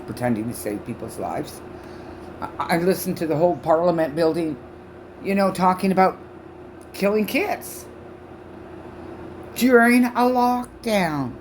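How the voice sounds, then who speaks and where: A woman in her sixties from Canada ranting loudly and profanely to camera on her own channel, her speech halting from false teeth. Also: low-pitched, background noise. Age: 60s. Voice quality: loud voice. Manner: shouting, profane, accusatory. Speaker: vlogger. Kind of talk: angry rant to camera.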